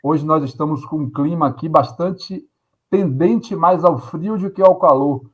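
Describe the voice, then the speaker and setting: A man's voice: a little high, a little slow, breathy edge. Earnest man in his fifties. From Salvador, Bahia, Brazil. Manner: earnest, hesitant with frequent fillers. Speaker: guest professor. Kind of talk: podcast interview.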